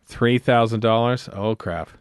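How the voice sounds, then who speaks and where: A man's voice: mid-range voice, unhurried, clean tone. A man hosting a podcast, chatting casually over an episode in a jokey, rambling way. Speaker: podcast host. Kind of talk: casual episode commentary chat.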